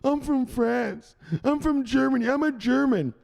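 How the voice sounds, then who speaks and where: Play a prickly, defensive European man as a host does a quick put-on voice, pitched far above his normal speaking voice into a thin, squeaky register. He protests in a huffy tone and sounds personally offended. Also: creaky, a little mellow, long reverb tail, heavily acted. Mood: indignant.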